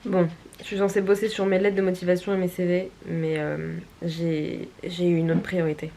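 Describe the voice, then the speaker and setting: A woman's voice: fairly low pitch, little pitch movement, normal speed, mellow, a little hiss or hum. A young woman from Paris, chatting casually in a playful, slangy, self-mocking way as she vlogs. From Paris, France. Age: young adult. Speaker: vlogger.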